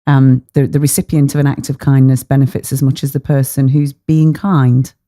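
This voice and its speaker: A woman's voice: extremely low pitch, flat delivery, normal speed, mellow. A woman hosting a discussion podcast, conversational and a little hesitant as she introduces guests and stories. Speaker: podcast host. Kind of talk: interview moderating.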